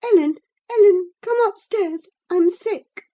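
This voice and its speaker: A woman voicing a distraught teenage girl who pleads through tears in a high, cracking voice. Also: slow pace, dark tone. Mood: pleading, sobbing.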